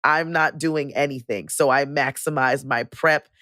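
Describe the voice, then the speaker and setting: Hoarse, sassy woman co-host. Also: extremely low pitch, measured pace, no echo. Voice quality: naturally hoarse, raspy voice. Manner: animated and sassy, with playful exclamations. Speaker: podcast co-host and teacher. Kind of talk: casual chat.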